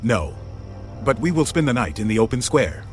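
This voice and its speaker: A man plays a solemn angel delivering divine commands in a scripture audio drama. He is grave and authoritative at a medium male pitch, with music underneath. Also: big pitch swings, normal speed, close to an everyday voice. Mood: polite refusal. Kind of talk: dramatized audio Bible acting.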